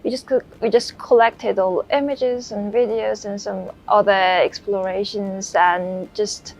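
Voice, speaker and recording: Slow, halting woman explaining. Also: mid-range voice, steady tone, gravelly, a little mellow, rough recording. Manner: halting, simply worded. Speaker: concept artist, interview guest. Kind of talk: unscripted explanation.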